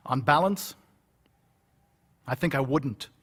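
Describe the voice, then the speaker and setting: An actor playing a cautious newspaper executive in a radio drama, speaking formally and deliberately. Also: male voice, mid-range voice, fairly bright tone, husky. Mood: grave, reluctant. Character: cautious, measured male newspaper executive adviser.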